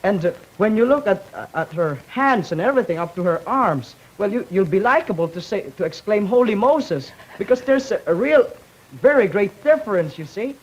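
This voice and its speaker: A young man speaks on a 1950s panel with a husky, slightly raspy voice. He talks hesitantly, restarting his phrases often. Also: high-pitched, lively intonation, poor audio. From Philippines. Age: young adult. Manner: hesitant, halting, with many restarts. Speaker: student debater.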